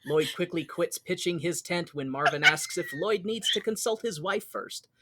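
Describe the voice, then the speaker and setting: A man's voice: high-pitched, normal speed, heavy background noise. An American man hosting a podcast, telling a story in a playful, jokey, casual way. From Mountain West United States. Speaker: podcast host. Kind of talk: recapping a musical, casual joking chat.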